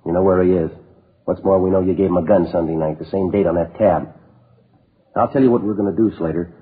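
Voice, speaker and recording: An actor playing a steady, no-nonsense police detective in a radio crime drama, speaking in a dry, matter-of-fact way on a vintage recording. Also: male voice, low-pitched, fast talker, husky, narrow, tinny audio. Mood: firm, pressing. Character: steady, no-nonsense police detective who narrates his cases. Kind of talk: 1950s radio crime drama acting and narration.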